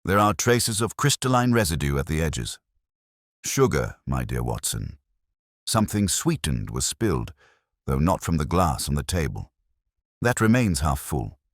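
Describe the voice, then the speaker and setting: A man voicing a brilliant, commanding male detective who reasons in formal, deliberate, incisive phrases in an audiobook mystery. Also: extremely low pitch, sing-song, no room sound, clean studio sound, heavily acted. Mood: analytical, assured.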